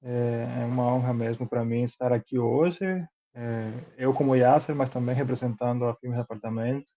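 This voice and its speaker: A man's voice: medium pitch, little pitch movement, moderate pace, dark tone, muffled recording. A man from Cuba with a Cuban accent and Spanish as his first language, answering informally as an interview guest. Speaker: interview guest, film producer and director.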